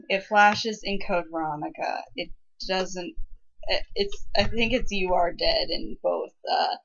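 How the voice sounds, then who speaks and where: Female co-commentator on a muffled lo-fi mic, mid-pitched, casual, exasperated nitpicker. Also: fairly bright tone.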